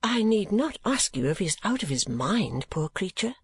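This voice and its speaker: A woman reading an audiobook, voicing a gentle, compassionate young woman who speaks softly and earnestly. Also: mid-range voice, sing-song, moderate pace, slightly rough, no room sound, pitched above the performer's own voice, full character voice. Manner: soft, earnest, questioning.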